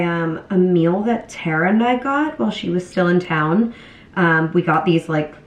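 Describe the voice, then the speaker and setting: A woman's voice: fairly low pitch, background noise. A young woman with a cold, chatting candidly to camera in a casual vlog, full of fillers. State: has a cold. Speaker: vlogger. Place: home, grocery store and car.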